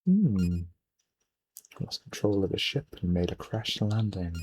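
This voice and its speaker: A man's voice: fairly low pitch, big pitch swings, measured pace, darkish tone, breathy edge. A man giving casual, rambling game commentary, musing aloud, often trailing off and reading bits of on-screen text. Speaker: let's player, gaming video host. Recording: noisy home microphone, game music behind. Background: video game music.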